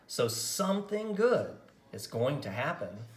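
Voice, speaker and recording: A man voicing a sick young girl in a picture book, soft, dreamy and wistful. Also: mid-range voice, sing-song, unhurried. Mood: hopeful.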